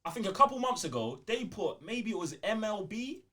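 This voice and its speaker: A man's voice: high-pitched, big pitch swings, fairly quick, sharp tone. A man with a London accent hosting a gaming podcast, ranting in slangy, emphatic banter and repeating phrases for emphasis. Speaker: podcast host.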